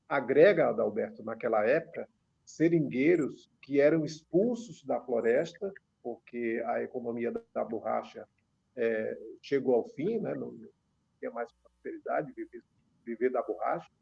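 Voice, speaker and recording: A Brazilian man in his fifties, a guest on a radio interview, explaining at a measured pace with many filler pauses. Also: mid-range voice, creaky, darkish tone, husky. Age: 50s. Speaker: author and religious researcher guest. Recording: dull lo-fi radio sound.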